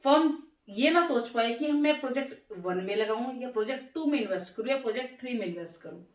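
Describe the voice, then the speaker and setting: A hoarse woman teaching finance in a classroom-style lecture, asking questions and answering them herself and slowing down over English terms. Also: a little high, lively intonation, far from the mic. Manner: slows down to spell out English terms. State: hoarse, sore throat. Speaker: economics teacher. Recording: echoey room, voice from off mic.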